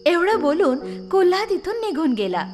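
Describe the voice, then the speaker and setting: A woman's voice: very high voice, very animated, moderate pace, music in the background. A woman narrating a scripted children's story as the storyteller.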